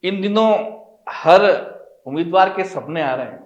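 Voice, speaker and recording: A man's voice: high voice, expressive, a little slow, echoey room, highs cut off. A man asking probing, conversational questions as the interviewer.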